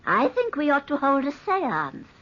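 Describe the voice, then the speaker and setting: An ominous, superstitious fortune-telling countess of old-time radio drama. She pronounces everything with lofty solemnity and wide, sweeping pitch. The recording is dull and lo-fi. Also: wildly swooping pitch, measured pace, a bit bright, exaggerated voice. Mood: earnest, reproachful.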